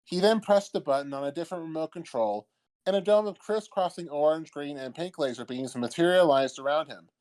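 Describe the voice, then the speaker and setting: A man's voice: brisk, a little mellow. A man reading scripted comedic fanfiction as the narrator. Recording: old-timey low-quality mic, background music.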